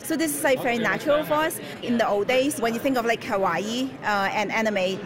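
A woman's voice: a little high, fairly quick. A woman from Hong Kong, a creative director, talking chattily with many fillers at a trade fair stall. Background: crowd chatter.

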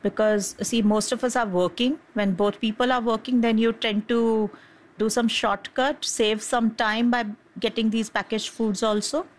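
A woman's voice: mid-range voice, low-quality recording. A woman doctor and mother answering interview questions in an earnest, plain-spoken way with some hesitations.

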